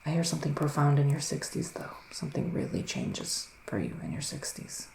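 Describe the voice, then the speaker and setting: Soft-spoken, creaky-voiced male ASMR witch. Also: fairly high pitch, huge pitch swings, reverberant, a little hiss or hum, rough recording. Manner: slow, hesitant, musing, with murmured hums. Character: gentle, mystical male witch giving readings. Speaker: ASMR roleplayer as a psychic witch. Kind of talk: soft-spoken ASMR roleplay, partly whispered.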